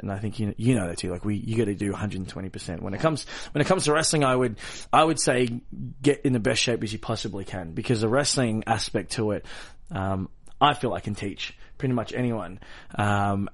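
A man with an Australian accent chatting casually as an interview guest, informal and self-deprecating, in a loose, rambling way. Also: on the low side. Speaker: interview guest, professional wrestler. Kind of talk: casual, joking interview chat.

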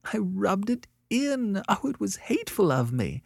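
A man voicing a quick-tempered young woman artist in an audiobook story, sharp-tongued yet remorseful, with an emotional, theatrical way of talking. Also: fairly high pitch, big pitch swings, dry recording, studio quality, voice pushed up. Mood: miserable, remorseful.

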